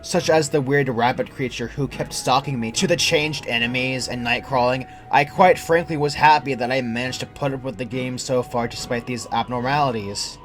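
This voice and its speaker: A man's voice: mid-range voice, normal speed, hardly any pauses, fairly bright tone, faint music behind. A young adult man reading a scripted first-person creepypasta as its narrator. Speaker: horror story narrator.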